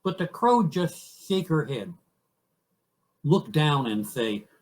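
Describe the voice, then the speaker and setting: A man voicing a goofy moose puppet who tells fairy tales with drawn-out words and rustic broken grammar. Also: a little high, sing-song, rough recording. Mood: goofy storytelling.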